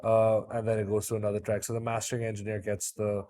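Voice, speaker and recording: A man's voice: on the low side, completely flat delivery, warm tone. Man, mix engineer, casual session walkthrough, loose and stop-start delivery. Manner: halting, many restarts and fillers, laid-back.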